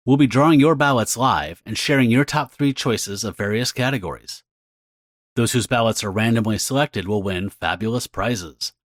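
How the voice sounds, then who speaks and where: A man's voice: medium pitch, no echo, studio quality. A man speaking as a podcast host, reading a scripted announcement.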